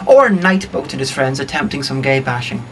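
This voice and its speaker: A man's voice: mid-range voice, expressive, moderate pace. A man reading a scripted comedic plot recap as co-narrator, in a dry, wry manner. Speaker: co-narrator of a comedy film review. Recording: faint background noise.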